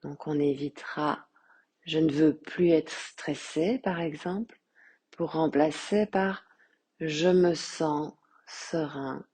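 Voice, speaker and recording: A woman guiding a relaxation meditation as a yoga teacher, speaking softly and breathily in a calm, soothing, measured way with long pauses. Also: low-pitched, breathy edge. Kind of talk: guided meditation.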